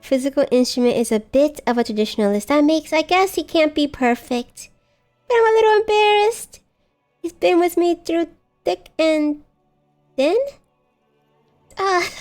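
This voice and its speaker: A young woman live-streaming a video game, giggly and animated as she reacts and chats. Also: high voice, moderate pace, long pauses, faint music behind.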